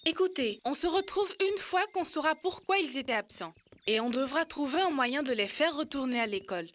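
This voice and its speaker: A woman's voice: high voice, lively intonation, brisk. A woman voicing an eager, upbeat child with an enthusiastic manner in a children's cartoon. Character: eager, upbeat child who rallies friends. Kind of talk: French-language children's cartoon dub.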